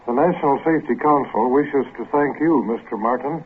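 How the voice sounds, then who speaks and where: A man's voice: medium pitch, fairly quick, slight background noise. A man giving a formal, scripted award speech as an organisation's president on 1950s radio. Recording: muffled, narrow vintage radio recording.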